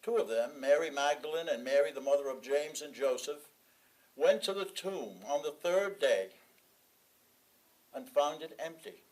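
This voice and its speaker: A man reading a written sermon aloud, with measured, deliberate phrasing and pauses between phrases. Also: on the high side, expressive, a little mellow, poor audio. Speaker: sermon reader. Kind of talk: sermon read from a written text.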